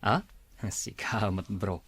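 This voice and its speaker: A man's voice: lowish voice, steady tone, slow pace, fairly bright tone, husky, dry recording, heavily acted. A man playing a nosy, teasing best friend in a radio drama, with an Ilocano Filipino accent. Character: Oliver's nosy, teasing male buddy.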